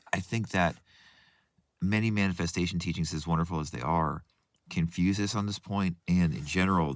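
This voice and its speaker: A man with a very low, hoarse voice talks earnestly as a coach. He often restarts and steps aside from his point. The sound is dry and close. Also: fairly bright tone, no room sound. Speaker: manifestation coach. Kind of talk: reflective talk with book readings.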